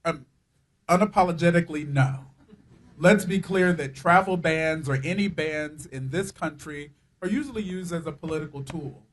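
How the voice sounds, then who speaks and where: A man with a fairly high voice answers bluntly at a news conference. He speaks with force and leaves no doubt about where he stands. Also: moderate pace. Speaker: rights advocate.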